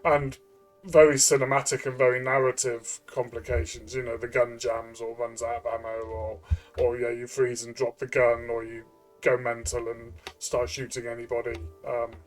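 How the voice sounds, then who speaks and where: A man chatting as a game reviewer, thinking aloud in a halting way with repeated words. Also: medium pitch, little pitch movement, soft background music. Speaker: tabletop game reviewer and player. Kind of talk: casual review discussion.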